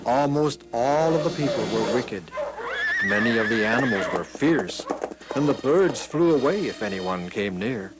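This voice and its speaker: Slow, theatrical male storyteller with swooping pitch. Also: poor audio. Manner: theatrical, with deliberate pauses and stretched words. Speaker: children's Bible story narrator. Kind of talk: scripted dramatic storytelling. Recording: music behind the voice.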